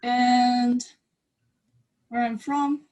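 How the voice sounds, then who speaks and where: A woman's voice: a little high, flat delivery, extremely slow, a little mellow, faint music behind. A woman in her early twenties, from China and raised partly in eastern Canada, speaking informally as a student panelist. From China, then eastern Canada from age ten. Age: early 20s. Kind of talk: informal self-introduction on a panel.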